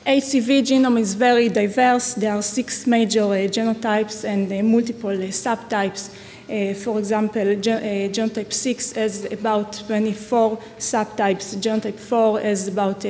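A woman's voice: medium pitch, steady tone, a little slow, a little mellow. A woman in her thirties from Israel with an Israeli accent, giving an academic conference talk at a podium microphone, hesitant and full of fillers. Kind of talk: academic lecture. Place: lecture hall at a podium. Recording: echoey hall with faint noise.

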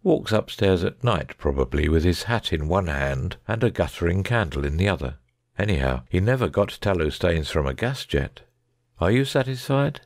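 A man's voice: sits low, lively intonation, normal speed, no room sound, studio quality. A man playing a brilliant, self-assured detective in a dramatic audiobook, speaking in a precise, didactic way.

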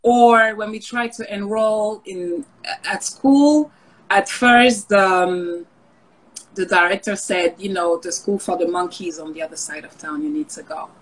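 A woman's voice: mid-range voice, a little slow, low-quality recording. A woman who grew up in France, speaks casually and reflectively as an interview guest over a video call. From Paris suburbs, France.

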